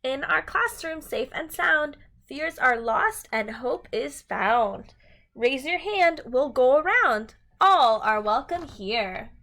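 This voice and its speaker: A woman's voice: sits high, expressive, takes it slowly, a bit bright. A woman teacher doing a read-aloud storytime for young children in a sing-song manner.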